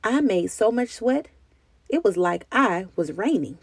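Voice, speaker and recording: A woman reading a children's story aloud in an expressive, deliberate storytelling style. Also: mid-range voice, no echo. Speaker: children's book reader.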